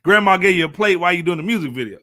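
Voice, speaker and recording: Fast, bright-voiced American man, podcast host. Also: high voice, lively intonation. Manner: slangy, playful, prompting the others. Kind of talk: casual hip-hop podcast chat.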